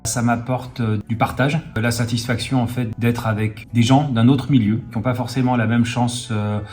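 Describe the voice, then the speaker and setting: A man in his fifties giving an interview testimonial as a volunteer mentor, speaking in a halting way. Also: medium pitch, fairly even intonation, a little mellow. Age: 50s. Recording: music behind the voice. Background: music.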